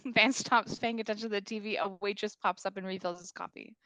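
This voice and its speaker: A woman with a medium-pitched voice reads scene directions for a comedy table read. It is scripted narration in a dry recording with no room echo. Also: steady tone, fairly quick, a bit bright.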